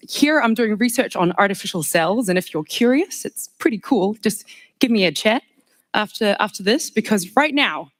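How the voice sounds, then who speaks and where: A woman from New Zealand with a clear New Zealand accent emcees a live event in a large hall. She is chatty and joking, laughing often, and speaks into a stage microphone. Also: mid-range voice. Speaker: master of ceremonies. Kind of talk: lively stage hosting.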